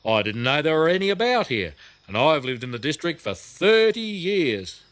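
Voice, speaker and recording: A man's voice: fairly high pitch, big pitch swings, moderate pace, fairly bright tone, clear, resonant tone, no echo, pitched above the performer's own voice. A man as a big storybook policeman, raised voice. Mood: mild regret. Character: big local policeman, long-time resident, matter-of-fact.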